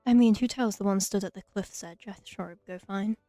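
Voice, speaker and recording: A woman with a mellow, relaxed voice gives casual let's play commentary. She makes wry, dry remarks in a close, dry recording with faint game music behind her. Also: medium pitch, a little fast, no echo. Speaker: gaming streamer.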